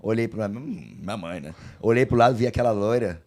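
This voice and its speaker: A Brazilian man, a guest on a podcast, telling a story in casual chat, hoarse from a cold, animated and swearing freely. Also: fairly low pitch, fast talker. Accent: Brazilian Portuguese. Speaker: guest, plastic surgeon.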